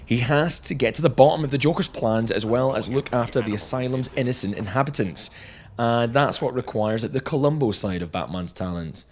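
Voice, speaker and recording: A man's voice: medium pitch, a little fast. A man narrating a scripted video game review with jokey, chuckling asides.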